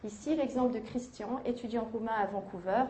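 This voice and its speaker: A woman giving an academic conference lecture, measured, with hesitations and self-corrections. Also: a little high, warm tone, slight background noise. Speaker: university lecturer. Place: lecture hall. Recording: echoey lecture hall.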